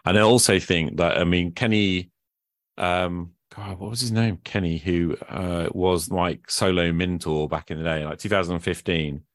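A man's voice: deep voice, fairly even intonation, moderate pace, dry recording. A man hosting a podcast, chatting casually and reflectively, thinking aloud as he goes. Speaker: podcast host. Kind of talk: casual conversation about hobby gaming.

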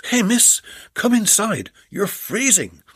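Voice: mid-range voice, sing-song, takes it slowly, a bit bright, slightly rough, no room sound, clean studio sound, voice pushed up, exaggerated voice. A reader voicing a tallish, apologetic male plumber in a comic storybook, with an earnest, fawning delivery. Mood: eager. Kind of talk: storybook character voice.

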